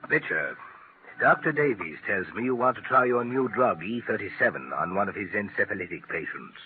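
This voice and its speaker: An actor playing a stern, scornful head of a research institute in a 1940s radio drama. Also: male voice, on the low side, lively intonation, brisk, lightly acted. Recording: noisy, tinny 1940s radio recording.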